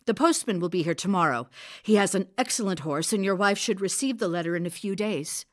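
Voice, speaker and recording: A woman playing a composed, caring village healer in an audio drama, steady, practical and coaxing. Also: mid-range voice, a little fast, dry recording, studio quality, lightly acted. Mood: gentle, probing.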